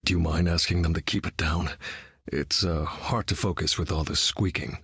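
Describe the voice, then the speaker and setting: A battle-hardened video game vigilante, grim and brooding. His voice is low and very husky, recorded bone dry, with a deadpan edge. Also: moderate pace, no room sound, full character voice. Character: grim, battle-hardened male vigilante leader with keen hearing. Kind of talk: video game character voice lines.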